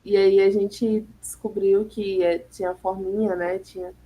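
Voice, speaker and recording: A woman's voice: medium pitch, level tone, a little slow, a crowd in the background, poor audio. A young woman student presenting her group's project in class, hesitant and full of fillers. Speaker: architecture student.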